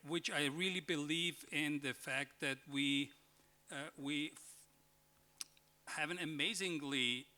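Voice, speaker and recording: A man addresses a conference panel in a somewhat high, bright voice. He hesitates a lot, filling gaps with "uh" and "like" and restarting his sentences. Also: slow, pauses often. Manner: hesitant, many fillers and restarts. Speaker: panelist, member of parliament.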